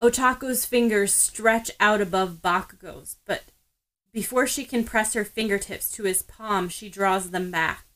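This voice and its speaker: Woman narrating a story, medium pitch. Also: unhurried, fairly bright tone, rough recording. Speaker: podfic narrator.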